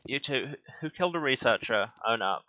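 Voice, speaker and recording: A man's voice: medium pitch, lively intonation, brisk, pushed and bright, poor audio. A man giving casual, dryly sarcastic commentary while playing a video game. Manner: dry, sarcastic asides. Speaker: host of a game playthrough.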